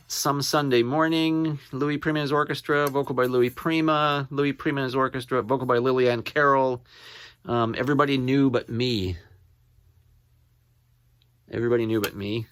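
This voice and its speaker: A man gives a relaxed, informal show-and-tell of items in his collection. He talks slowly, in halting, rambling stretches, and often says a title twice as he reads it out. The sound is dry, with little room reflection. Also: fairly high pitch, no room sound. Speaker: record collector showing his finds. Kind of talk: casual show-and-tell to camera.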